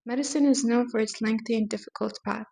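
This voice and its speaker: A woman's voice: a little high, steady tone, fast, gravelly, warm tone. A young adult woman hosting a podcast, reading prepared questions with hesitant ums. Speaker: podcast host.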